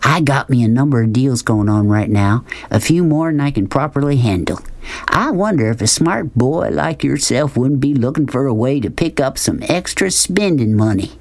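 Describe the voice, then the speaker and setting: An audiobook narrator voicing a large, loud Southern man, jovial and bossy, with a folksy, hollering manner. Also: medium pitch, animated, dry recording. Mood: sly, coaxing. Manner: hollering, folksy rural speech, rapid-fire questions. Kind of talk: audiobook character dialogue.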